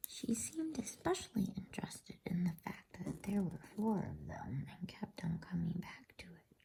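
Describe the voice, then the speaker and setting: A woman narrating a bedtime story in ASMR style, whispering in a soft, soothing, unhurried way. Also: fairly low pitch, sing-song, gravelly, low-quality recording.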